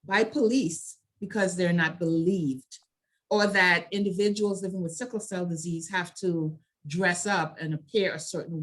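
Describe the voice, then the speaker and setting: A woman speaking as a health advocate in a prepared talk, with deliberate, emphatic and measured phrasing. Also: on the low side. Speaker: health advocacy organization leader and panel speaker.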